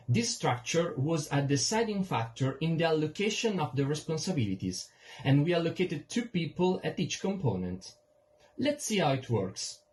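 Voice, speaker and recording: A man's voice: a little high, darkish tone. A young man, a student presenter, giving a scripted technical explanation. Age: young adult.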